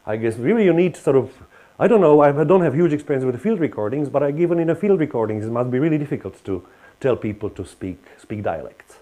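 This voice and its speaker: A man's voice: mid-range voice, expressive, fast, warm tone. A man with a Slovak accent gives a university lecture, talking in a hesitant way with frequent restarts and fillers. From Western Slovakia.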